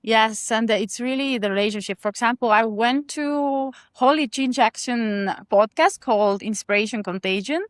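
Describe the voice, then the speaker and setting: A woman's voice: fairly high pitch, no echo, clean studio sound. Woman, careful English. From Estonia. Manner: careful, explanatory, slightly halting non-native phrasing. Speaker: podcast guest, consultant. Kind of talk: interview answers.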